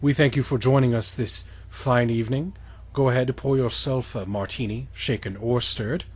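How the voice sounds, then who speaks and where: A man's voice: medium pitch, steady tone, moderate pace, darkish tone, breathy edge. A man hosting a podcast, reading fan fiction in a mock-dramatic, theatrical way with dryly pedantic asides. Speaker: podcast host.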